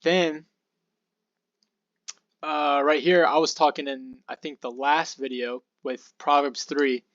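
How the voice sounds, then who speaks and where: Slightly hoarse young man, dry dull sound. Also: fairly high pitch, slow pace, dry recording. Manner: relaxed and rambling, with fillers and asides. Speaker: podcast host. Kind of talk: casual Bible study talk.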